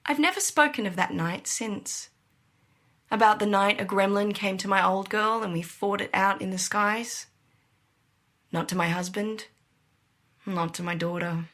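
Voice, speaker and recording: A woman acting a young, gutsy pilot who narrates her ordeal in an intense, breathy, suspenseful audio drama style. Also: medium pitch, natural voice. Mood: subdued, reflective. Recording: loud vehicle-like engine noise behind.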